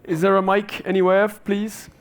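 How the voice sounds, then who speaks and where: A man moderates a conference panel on stage, thanking the guests and opening the floor in a polite, formal way. His voice sits a little higher than most men's. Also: little pitch movement, normal speed. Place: conference stage before an audience.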